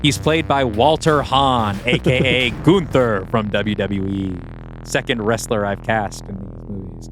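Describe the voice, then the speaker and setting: A man's voice: lowish voice, unhurried, music in the background. A man hosting a podcast, reading scripted scene directions as the narrator of an audio play.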